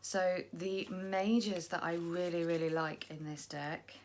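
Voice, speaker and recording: A young woman chatting casually to camera about her tarot decks, tired and croaky, rambling and poking fun at herself. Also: on the low side, steady tone, unhurried, a little hiss or hum. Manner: chatty, self-deprecating, rambling. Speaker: tarot vlogger.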